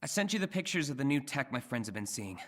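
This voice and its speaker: An actor playing an earnest, anxious young science intern in a video game, sincere and a little hesitant. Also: male voice, medium pitch, very animated, fast, natural voice. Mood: warm concern. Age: young adult. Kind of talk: naturalistic video game voice acting.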